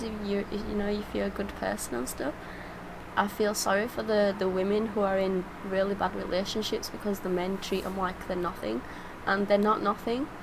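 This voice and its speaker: A woman's voice: mid-range voice, gravelly, background noise. A woman talking casually and personally as a reaction vlogger, heartfelt and rambling, emotional. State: emotional, tearful.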